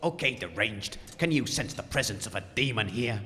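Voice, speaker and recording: A man playing a bold, showy exorcist in a comic audio drama, creaky-voiced and grandiose. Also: fairly low pitch, expressive, moderate pace, bright, forceful tone, long reverb tail. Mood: solemn. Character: bold, showy exorcist leading a ghost tour.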